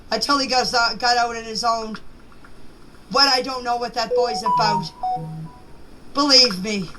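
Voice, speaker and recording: A middle-aged or older woman giving an informal personal account in a halting, plain-spoken, insistent manner. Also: fairly high pitch. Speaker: private person giving her account in voice clips. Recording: amateur voice clips with background noise.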